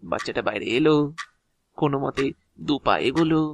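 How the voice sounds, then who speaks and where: A man's voice: mid-range voice, a little fast, slightly rough, no echo. An actor playing an ancient, talkative agent of Death in an audio drama, explaining his work proudly in a theatrical, officious yet chatty way. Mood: wistful storytelling. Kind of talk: Bengali audio drama acting.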